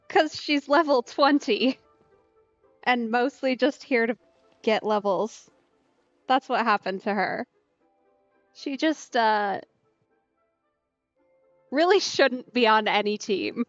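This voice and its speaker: A woman's voice: on the high side, no room sound, muffled recording. A young woman casually commenting while playing a video game, chatty and giggly. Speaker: game streamer. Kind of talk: casual let's play commentary. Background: game music.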